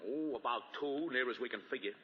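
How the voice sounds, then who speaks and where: An actor playing an impatient police lieutenant in 1940s radio drama, curt and badgering. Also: male voice, medium pitch, quick pace, close to an everyday voice. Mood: accusing, blunt. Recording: dull, reverberant 1940 radio broadcast.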